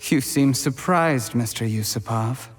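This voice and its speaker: An actor playing a cold, scheming usurper in a video game, mock-polite, measured and gloating. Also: male voice, medium pitch, lightly acted. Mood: bitter, cold. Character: cold, scheming male usurper, calculating and smug. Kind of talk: dramatic video game villain acting. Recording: long hall reverb with music.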